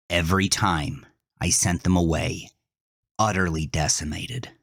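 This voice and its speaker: A man narrating a first-person horror story with intense, deliberate, theatrical delivery and a husky voice. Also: low voice, no echo, studio quality.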